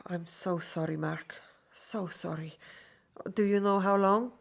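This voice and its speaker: An actress in a radio drama playing a gentle, devoted circus performer. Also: female voice, lowish voice, fairly even intonation, a little slow, gravelly. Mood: sympathetic.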